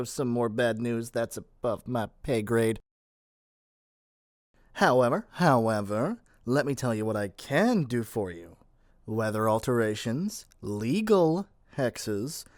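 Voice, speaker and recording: A man's voice: mid-range voice, darkish tone, dry recording, studio quality, lightly acted. A man playing a lovesick young witch shopkeeper in a scripted ASMR roleplay, theatrical and coaxing in a playful way. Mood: playful, salesy. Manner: theatrical, coaxing, playful, stammers when pleading.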